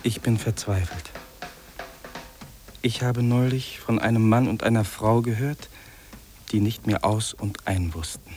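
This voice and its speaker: A man's voice: on the low side, a little slow, long pauses, darkish tone, slight background noise, close to an everyday voice. An actor playing a lonely, despairing young man in an earnest, anguished 1950s German radio play.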